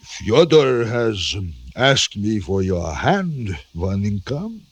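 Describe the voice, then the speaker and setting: A man's voice: fairly low pitch, very animated, slow pace, slightly rough, full character voice. An actor playing a domineering Russian general and stern, suspicious father in a pompous, theatrical old radio drama style. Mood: mellowing, firm.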